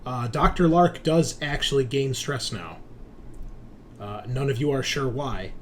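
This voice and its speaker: A man's voice: medium pitch, unhurried, a little hiss or hum. An American man in casual, sometimes sweary chat as he hosts a tabletop game stream. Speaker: host and game master.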